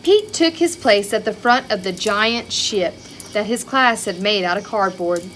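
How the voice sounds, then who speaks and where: A woman reading a picture book aloud to young children in an expressive way, with chatty asides and questions to her listeners. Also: medium pitch, measured pace, a little hiss or hum. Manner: expressive, child-directed, with rhetorical questions and exclamations.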